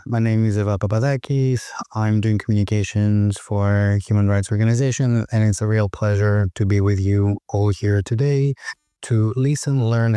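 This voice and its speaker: A man's voice: on the low side, warm tone, clear, resonant tone, dry recording, clean studio sound. A man moderating a discussion with prepared questions as an interviewer.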